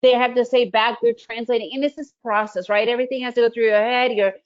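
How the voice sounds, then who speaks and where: A woman's voice: a little high, steady tone, fast talker, muffled recording. A middle-aged woman, originally from the Soviet Union and a native Russian speaker, presenting a trading webinar in a conversational way, often asking "right?". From Soviet Union, raised in the United States. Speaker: day trading educator. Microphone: video call.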